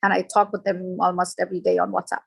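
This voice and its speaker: A woman's voice: on the low side, completely flat delivery, fast talker, gravelly, husky. Emotional woman guest, English. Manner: emotional, non-native grammar. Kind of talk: discussion.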